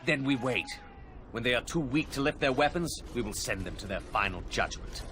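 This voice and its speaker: A man's voice: mid-range voice, animated, natural voice. A man voicing a contemptuous enemy commander. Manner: contemptuous and measured. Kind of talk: video game cut-scene acting. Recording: noisy game audio.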